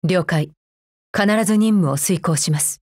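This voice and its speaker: A woman's voice: fairly low pitch, measured pace, darkish tone, husky, no room sound, studio quality. A woman playing a cold, efficiency-minded female combat android in a mobile game, speaking formally in a clipped, stern, unemotional way. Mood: businesslike, composed. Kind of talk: scripted mobile game character voice lines.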